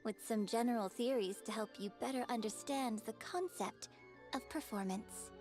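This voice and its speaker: An actress playing a poised, exacting opera performer in a video game, instructing a pupil in a formal, theatrical way. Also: female voice, a little high, normal speed, darkish tone, soft background music, close to an everyday voice. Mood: earnest, lecturing. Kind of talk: scripted video game voice acting.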